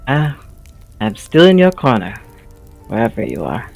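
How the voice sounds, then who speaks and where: A man's voice: mid-range voice, wildly swooping pitch, a little slow, vocal fry, darkish tone, voice pushed down, heavily acted. A man playing an awkward, earnest young sidekick in a tabletop audio drama, hesitant and rambling as he talks. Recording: music behind the voice.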